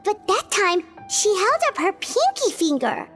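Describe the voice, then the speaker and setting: A woman's voice: extremely high pitch, huge pitch swings, unhurried, fairly bright tone, full character voice. A woman voicing a small, cute, cheerful nurse creature in a video game, sweet, precise and confident. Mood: earnest, thoughtful. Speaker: head nurse. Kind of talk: video game character acting. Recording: music behind the voice.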